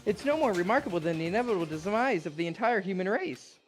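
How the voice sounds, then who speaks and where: A woman's voice: medium pitch, lively intonation, quick pace, husky, faint music behind, poor audio. Woman putting on a smug, swooping robot bear villain. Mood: mocking. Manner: mock-cheerful, taunting. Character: smug, mocking, murderous robot teddy bear mascot. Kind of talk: amateur dramatic reading of a visual novel.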